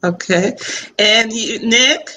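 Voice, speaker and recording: A woman's voice: fairly high pitch, takes it slowly, sharp tone. A woman hosting a talk show panel in a chatty, casual way over a video call, often given to laughing. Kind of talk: casual chat moderating a panel.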